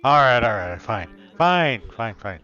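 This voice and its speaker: A man's voice: mid-range voice, very animated, measured pace, sharp tone, lo-fi sound, soft background music. A man improvising as an awkward, earnest dragon in human form, stammering a little and choosing formal words. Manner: hesitant, stammering, formal wording. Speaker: roleplayer in an online fantasy game. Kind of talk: improvised in-character roleplay.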